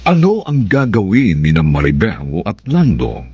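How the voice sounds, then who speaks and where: A man's voice: deep voice, wildly swooping pitch, measured pace, mellow, breathy edge, no room sound. A man with a Filipino accent announcing a radio drama in a dramatic scripted style, on dull, dry audio.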